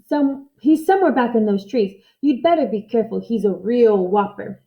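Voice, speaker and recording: A woman's voice: fairly high pitch, moderate pace, phone-quality sound, close to an everyday voice. A woman voicing a folksy, cautioning male farmer in a storybook, speaking emphatically. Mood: warning.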